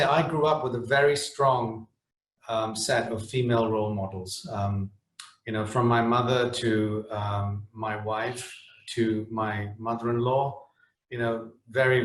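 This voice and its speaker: A middle-aged man from the Netherlands talks on a webinar panel, halting and full of restarts and fillers. His voice sits at an ordinary middle pitch. The room around him gives a noticeable echo. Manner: hesitant, many restarts and fillers.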